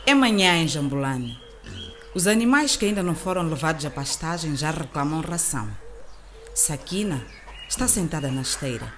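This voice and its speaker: A woman's voice: low voice, expressive, moderate pace. Mozambican woman narrating over farmyard noise. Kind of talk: scripted radio drama narration. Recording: noisy outdoor farmyard with birdsong and roosters.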